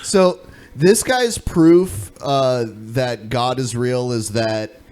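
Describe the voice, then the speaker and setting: A man's voice: medium pitch, animated, extremely slow, darkish tone, smooth. A man in casual podcast banter with a blunt, sarcastic way of talking. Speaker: podcast host or panelist.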